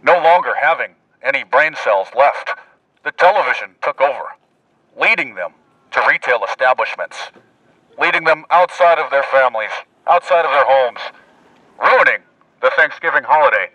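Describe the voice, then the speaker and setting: A man's voice: mid-range voice, animated, moderate pace, pushed and bright, dry recording, dull audio. A man giving mocking, declamatory mock-news narration through a bullhorn, outdoors. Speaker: prankster video host.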